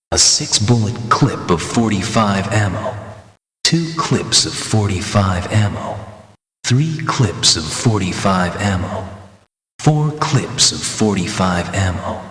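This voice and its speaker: A man reading scripted item names for a video game in a deliberate, matter-of-fact way. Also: low voice, fairly bright tone, roomy sound, big-room sound. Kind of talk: scripted item-list narration.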